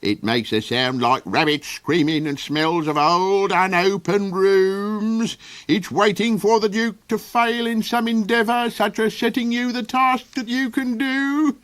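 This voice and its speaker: A man doing a gruff, jittery dungeon guard. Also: high voice, fairly even intonation, unhurried, fairly bright tone, voice pushed up. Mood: fearful. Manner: growling, then nervous and rising when frightened. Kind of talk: audiobook character voice.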